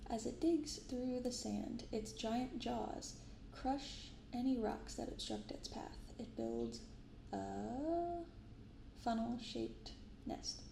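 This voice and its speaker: A soft-spoken woman with a creaky, fry-edged voice at a middling pitch narrates in an ASMR style, moving between reading aloud and casual commentary. She is picked up in a roomy space with noticeable background noise. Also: sing-song, takes it slowly. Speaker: host of a card-opening channel. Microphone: binaural microphone.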